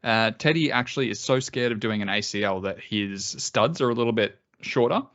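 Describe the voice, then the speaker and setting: Aussie bloke hosting a podcast, jokey and laughy, kinda dull muffled call audio. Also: male voice, medium pitch, brisk, fairly bright tone. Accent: Australian. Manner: joking, laughs often, swears. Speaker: podcast host. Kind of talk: casual chat.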